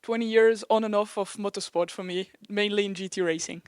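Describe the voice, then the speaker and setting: A woman in her thirties from Belgium, a racing driver introducing herself with a friendly, slightly halting manner. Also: medium pitch, steady tone, a little mellow, dry recording. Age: 30s.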